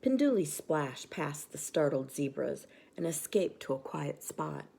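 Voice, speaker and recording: Low, mellow woman reading a bedtime story. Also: expressive, measured pace, creaky, a little hiss or hum. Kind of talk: expressive children's story narration.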